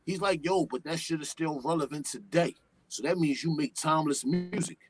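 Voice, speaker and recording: Deep-voiced New rapper, animated and streetwise. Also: male voice, brisk, soft background music. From New York. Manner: animated, streetwise slang, swears freely, talks at length. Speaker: guest hip-hop artist. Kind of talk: casual podcast chat.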